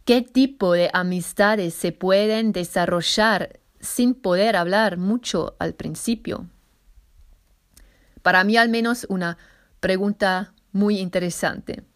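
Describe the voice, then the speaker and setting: Woman podcaster, bit of an argentine accent mixed in, slow careful talk, fixes her own slips. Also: mid-range voice. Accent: slight Argentine, mixed. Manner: careful, self-correcting, occasional slips. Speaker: podcast host. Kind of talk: solo podcast monologue, learner's Spanish.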